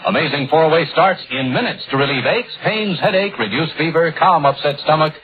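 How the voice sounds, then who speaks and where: A man's voice: on the high side, animated, moderate pace, noisy background. A man reading a 1950s hard-sell radio commercial with emphatic delivery. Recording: thin, phone-like old radio sound.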